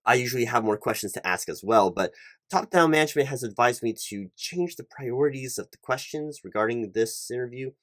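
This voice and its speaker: A man playing a polite, fussy, slightly flustered hiring manager in a one-sided ASMR job interview roleplay, speaking formally and haltingly and often repeating himself. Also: mid-range voice, lively intonation, a bit bright, dry recording, close to an everyday voice. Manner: halting, repetitive, over-careful, formal.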